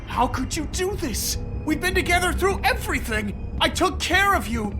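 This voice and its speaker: An actor playing a jealous, hot-tempered husband who shouts accusations. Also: male voice, extremely high pitch, big pitch swings, moderate pace. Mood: furious, jealous. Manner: shouting, accusing, then subdued. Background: game score music.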